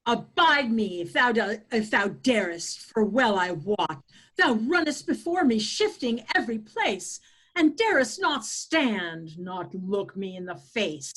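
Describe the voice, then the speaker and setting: A woman acts out a hot-headed young suitor in a Shakespeare play. The delivery is slow but very lively, rising and falling with emphatic declamation, in a slightly high voice. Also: husky, heavily acted. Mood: indignant. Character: hot-headed young male lover, challenging his rival. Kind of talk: Shakespeare table read in verse.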